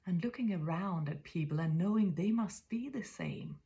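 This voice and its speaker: A woman in her fifties from Denmark with a Danish accent, speaking in a reflective spiritual monologue that is soft, often whispery, and halting as she searches for words. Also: on the low side. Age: 50s.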